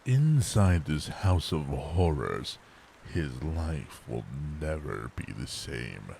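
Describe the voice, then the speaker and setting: An ominous horror narrator played by a man with an extremely low, creaky voice. He tells the audio drama gravely and slowly, letting each grim phrase hang. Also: very animated, warm tone, a little hiss or hum, full character voice. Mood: foreboding. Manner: slow, ominous, deliberate storytelling.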